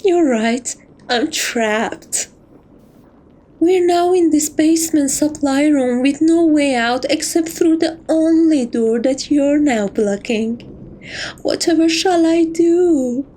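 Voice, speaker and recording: Slow, high-voiced teasing catgirl thief acted by a woman. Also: mellow, a little hiss or hum. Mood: teasing, coy. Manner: teasing, coy, theatrical, affectionate. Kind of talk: romantic ASMR roleplay.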